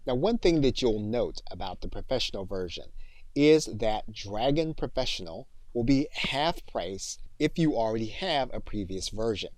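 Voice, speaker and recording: A man giving instructional tutorial narration as a course instructor, in a measured, explanatory way. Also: mid-range voice, lively intonation, a little mellow, dry recording.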